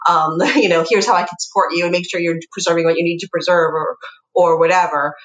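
A woman lawyer with a slightly low voice, chatting with a podcast host in a cheerful, nerdy way and laughing lightly. Her audio comes through with noticeable room echo. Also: steady tone, quick pace. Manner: chatty, self-described nerd, laughs lightly. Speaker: guest lawyer. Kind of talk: casual podcast interview. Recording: echoey room.

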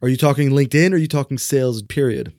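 A man hosting a podcast interview, asking quick follow-up questions and offering brief agreement. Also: mid-range voice, a little mellow, no echo, clean studio sound. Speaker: podcast host. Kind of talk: casual interview.

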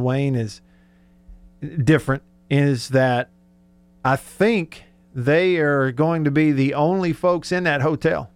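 A man's voice: medium pitch, darkish tone, no room sound. A man hosting a casual sports radio show, conversational and thinking aloud as he goes.

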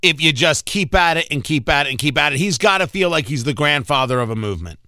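A man hosting a political interview, asking long, deliberate, emphatic questions in halting phrases. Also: fairly high pitch, animated, pushed and bright, no echo, clean studio sound. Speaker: radio interviewer, host.

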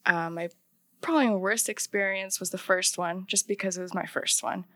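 A woman's voice: mid-range voice, fairly even intonation, fairly quick, fairly bright tone, no room sound. A young woman, a painter and singer, chatting as a guest in an interview with lots of "like" fillers. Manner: chatty, many "like" fillers, laughs often. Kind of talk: casual interview chat.

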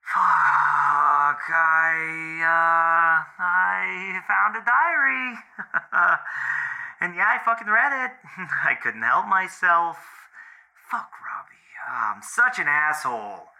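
Anxious, bright-voiced rambling boyfriend. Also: male voice, fairly high pitch, creaky, exaggerated voice, low-quality recording. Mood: guilty, confessing. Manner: halting, slangy, self-deprecating, swearing. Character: anxious, guilt-ridden boyfriend confessing on voicemail. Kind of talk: naturalistic audio drama, rambling monologue.

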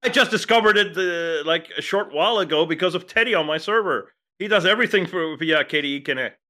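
A man with a Lebanese accent chats on a tech podcast in a bright, forward voice that sits a little high. He talks in animated, halting bursts, full of restarts and fillers. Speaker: podcast co-host. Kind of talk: casual tech podcast chat.

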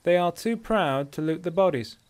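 A brash dark elf woman as a male narrator plays her, pitched well up. She is a sly fantasy bandit who taunts, bosses and threatens with sardonic confidence. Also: animated, normal speed, smooth.

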